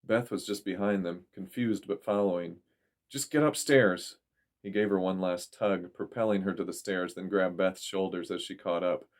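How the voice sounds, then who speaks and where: A man's voice: deep voice, flat delivery, moderate pace, hardly any pauses, darkish tone. A man reading a story aloud as a dramatic audiobook narrator.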